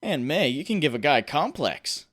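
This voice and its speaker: A man's voice: fairly high pitch, big pitch swings, moderate pace. A man playing an anxious, wry young superhero in a comic dub, brooding and self-doubting. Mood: casual, uneasy. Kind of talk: dramatic second-person narration and dialogue, comic dub. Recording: echoey room with faint background noise.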